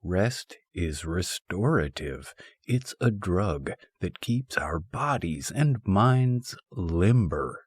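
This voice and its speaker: A low-pitched male narrator takes his time over a humorous essay, his delivery wry and mock-scholarly. The tone moves up and down in a lively way. The recording is dry and close. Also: takes it slowly, slightly rough, no room sound. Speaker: audiobook narrator.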